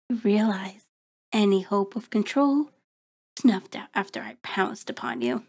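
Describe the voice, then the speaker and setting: Slow, haughty vampire villainess played by a woman. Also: medium pitch, darkish tone, slightly rough. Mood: mocking, menacing. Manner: slow, deliberate, mocking, haughty formal diction. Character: cold, taunting, predatory female vampire toying with prey. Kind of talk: ASMR horror roleplay, intimate scripted monologue.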